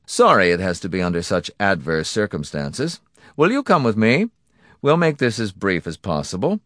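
A man voicing a politely menacing male boss in an audiobook, indulgent and condescending, with drawn-out, mock-courteous phrasing. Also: on the low side, big pitch swings, a bit bright, dry recording, studio quality. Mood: polite menace, annoyed. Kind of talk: audiobook character acting.

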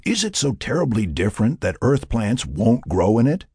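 Narrator as a cocky male settler, very husky, animated, folksy, argumentative, close dry audiobook sound. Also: fairly low pitch, normal speed, dry recording, voice pushed up. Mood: confrontational. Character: gruff, hot-headed, cocky male settler and hunter. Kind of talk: audiobook character dialogue.

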